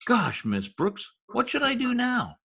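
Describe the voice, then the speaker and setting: A man playing a cheeky, excitable teenage schoolboy in an old-time radio comedy. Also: very high-pitched, measured pace, vocal fry, husky, exaggerated voice. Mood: dismayed. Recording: remote home recording over video call.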